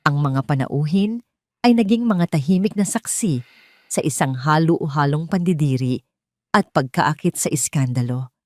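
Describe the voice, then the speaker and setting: Lower-pitched Filipino woman narrating a drama. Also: normal speed, darkish tone, no echo, clean studio sound. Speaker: story narrator. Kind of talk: scripted dramatic audio story narration.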